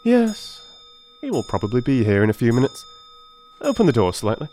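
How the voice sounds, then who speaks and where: A man's voice: medium pitch, wildly swooping pitch, moderate pace, warm tone, faint music behind. A man voicing a brilliant, confident detective in a dramatised audiobook, with theatrical, emphatic delivery. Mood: musing, animated. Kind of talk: dramatised audiobook character acting.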